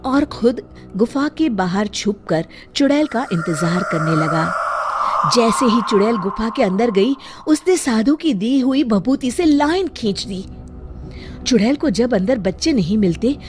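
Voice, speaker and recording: A woman narrating a children's moral story in an expressive, scripted storytelling style. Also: fairly high pitch.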